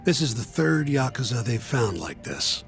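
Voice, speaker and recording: A man acting as a brisk, pragmatic, unsentimental defense lawyer in a video game, speaking bluntly and colloquially. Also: medium pitch, big pitch swings, soft background music. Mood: matter-of-fact. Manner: blunt, colloquial, matter-of-fact. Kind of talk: English game dub dialogue.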